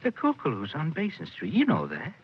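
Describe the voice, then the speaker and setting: An evasive, lazy lover. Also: male voice, on the high side, huge pitch swings, fairly quick, breathy edge, full character voice. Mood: dismissive. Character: adult man, evasive clarinet-playing lover. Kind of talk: 1940s radio drama acting. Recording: dull old radio broadcast, faint hiss.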